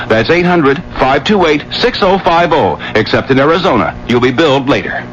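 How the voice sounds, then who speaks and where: A man's voice: on the high side, lively intonation, moderate pace. A man reading a scripted radio commercial as an announcer. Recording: dull old radio, faint noise.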